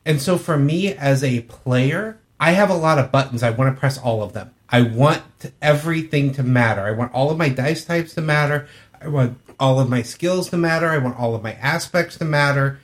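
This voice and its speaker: Male co-host, medium pitch, plain-spoken and earnest, casual hobby panel chat. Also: normal speed. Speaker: podcast co-host. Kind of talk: casual panel chat about tabletop games.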